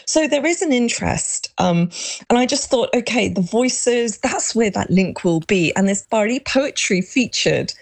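A woman's voice: on the high side, lively intonation, a little slow. Chatty middle-aged woman. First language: Urdu. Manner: chatty, with many fillers and restarts. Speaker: guest, exhibition creator. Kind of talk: radio interview.

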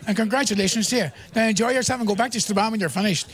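A man's voice: high-pitched, racing. A Northern Irish man hosting jokey, teasing interviews with people in a crowd at an outdoor event. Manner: jokey, bantering. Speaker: roving radio host doing crowd interviews. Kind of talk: teasing casual vox-pop interviews.

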